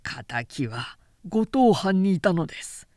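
A woman's voice: lowish voice, big pitch swings, a little mellow, dry recording, studio quality. A woman voicing a gaunt, dignified young samurai avenger in an audiobook, speaking formally and with grave restraint. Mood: grave, restrained. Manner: formal, measured samurai speech. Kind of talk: audiobook character dialogue.

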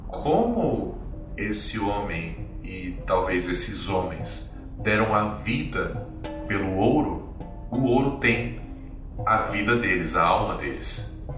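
A man's voice: lowish voice, pushed and bright. A man running a tabletop game as game master, explaining the rules and describing the scene. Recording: echoey and distant with music.

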